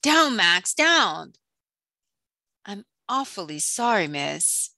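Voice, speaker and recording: A woman's voice: fairly low pitch, measured pace, fairly bright tone, no room sound, clean studio sound. A woman voicing a courteous, gallant young prince in a children's storybook reading. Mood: apologetic, helpful.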